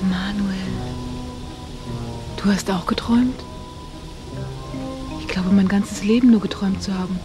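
A woman's voice: mid-range voice, steady tone, a little slow, long pauses, natural voice. An actress playing a dreamy, adoring young woman in a radio drama, breathless in manner. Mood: wondering. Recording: music under the voice.

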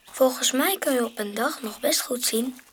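Woman as a high-voiced precocious young boy. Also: moderate pace, a little hiss or hum, close to an everyday voice. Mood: curious, matter-of-fact. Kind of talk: radio drama acting.

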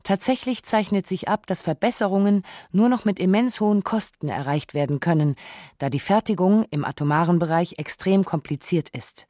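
A woman reading a scripted radio documentary narration in a measured, reflective way. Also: lowish voice, mellow, no echo, clean studio sound.

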